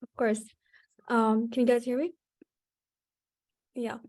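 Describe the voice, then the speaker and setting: A woman's voice: on the high side, little pitch movement, slow pace, a little mellow. Young woman, reflective and casual. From Qatar. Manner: casual, reflective, many fillers. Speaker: workshop writer. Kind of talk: online panel answer.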